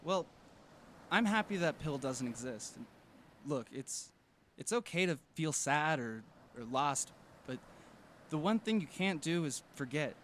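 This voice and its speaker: A man's voice: fairly high pitch, animated, a little slow, a bit bright, a little hiss or hum. A man playing a supportive, jokey teenage boy, an earnest best friend in an audio drama. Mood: earnest, comforting. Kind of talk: fiction podcast audio drama acting.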